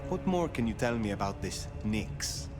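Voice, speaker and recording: An actor playing a composed elder vampire sheriff in a video game, formal, measured and probing. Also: male voice, on the low side, big pitch swings, music in the background. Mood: composed inquiry. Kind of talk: video game dialogue acting.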